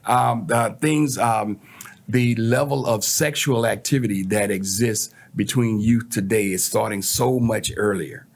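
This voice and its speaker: A middle-aged man with an American accent talking casually as a show guest, halting and repeating words for emphasis. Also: on the low side, fairly even intonation, creaky. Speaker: talk show guest and co-presenter. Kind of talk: casual conversation.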